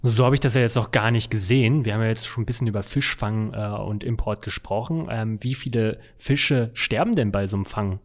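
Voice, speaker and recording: A man's voice: fairly low pitch, brisk, hardly any pauses. A man hosting a podcast interview, asking questions in an informal, conversational way. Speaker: interview host.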